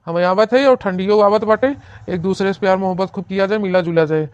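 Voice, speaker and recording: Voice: medium pitch, fairly even intonation, brisk, smooth, dry recording, lo-fi sound, close to an everyday voice. An actor playing a lovesick, pleading young village brother-in-law in a comedy cartoon, gushing and wheedling in an Awadhi accent. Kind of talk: cartoon comedy acting in rural dialect.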